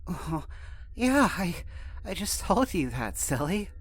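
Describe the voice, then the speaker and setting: A man's voice: sits high, sing-song, slow, breathy edge, exaggerated voice. A man playing an innocent, wide-eyed young child witch in an audio drama, with hesitant, simple speech. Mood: amazed.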